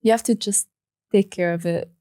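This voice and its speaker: A woman's voice: mid-range voice, fairly even intonation, moderate pace, warm tone, no echo, studio quality. A young woman hosting an interview, asking long reflective questions in a casual conversational way. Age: young adult. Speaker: interview host.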